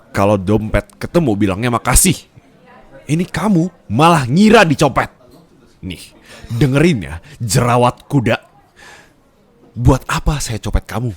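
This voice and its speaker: A man playing a curt, sarcastic young office boss in an ASMR roleplay drama. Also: mid-range voice. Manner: haughty, scolding, insulting.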